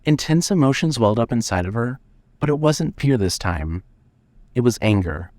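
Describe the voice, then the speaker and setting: A man's voice: medium pitch, lively intonation, normal speed, a little mellow, no echo. A man narrating scripted suspense fiction as the storyteller of an audio drama.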